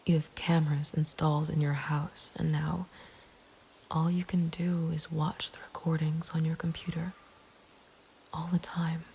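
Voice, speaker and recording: Woman whispering an asmr horror story, breathy n flat, some ambient noise behind her. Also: low-pitched, unhurried. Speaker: narrator. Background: nature ambience.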